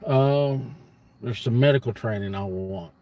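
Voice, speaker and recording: A man's voice: medium pitch, measured pace, mellow. A man in his fifties talking casually to livestream viewers in a rambling monologue, blunt and folksy, repeating points for emphasis. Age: 50s. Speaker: livestream host.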